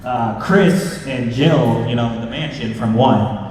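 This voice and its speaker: A man's voice: mid-range voice, measured pace, darkish tone. A man hosting a fan panel in a casual, emphatic, opinionated way, speaking to a room. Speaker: panel host. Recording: echoey hall, distant mic, audience noise.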